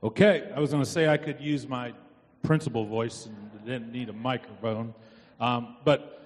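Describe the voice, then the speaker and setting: A middle-aged man giving informal, halting announcements to a congregation as a church committee chair. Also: mid-range voice, fairly even intonation, breathy edge. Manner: halting, long-winded. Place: inside a church. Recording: church hall with long reverb.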